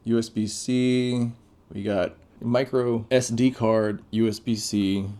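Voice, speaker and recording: A man's voice: medium pitch, steady tone, slow, mellow. A man giving casual, off-the-cuff unboxing commentary as a tech reviewer, laid-back and informal with plenty of slang.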